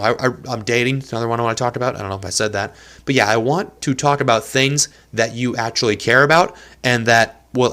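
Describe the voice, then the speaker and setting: A man's voice: mid-range voice, fairly bright tone. A young man hosting a casual solo podcast from a small apartment, rambling and self-deprecating, with a nose stuffed up from allergies. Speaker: podcast host.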